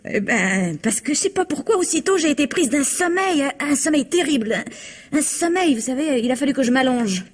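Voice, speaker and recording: An actress playing a naive, chatty young country maid in a French radio mystery, hesitant, rambling and giggly. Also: female voice, high-pitched, animated, brisk. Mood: rambling, earnest.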